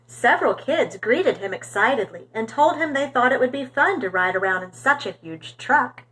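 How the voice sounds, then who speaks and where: A woman reading a storybook aloud for young children in an expressive, animated storytelling manner. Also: fairly high pitch, normal speed, slightly rough, poor audio.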